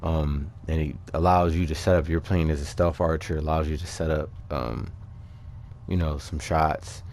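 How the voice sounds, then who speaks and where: A man with a low-pitched voice presents a gaming video as its host. He speaks informally and conversationally, as if to regular viewers. His delivery is a little hesitant as he casually explains things over game footage. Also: steady tone, normal speed, darkish tone, slight background noise. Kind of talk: casual game build commentary. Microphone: voice-over on a microphone over game capture.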